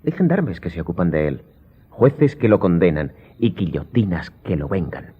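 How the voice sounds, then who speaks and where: An actor playing a commanding count in an audio drama, formal, authoritative and coolly sardonic. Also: male voice, sits low, very animated, normal speed, slightly rough, exaggerated voice. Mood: stern, threatening. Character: commanding, coolly ironic count, master of the house. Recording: muffled, band-limited audio.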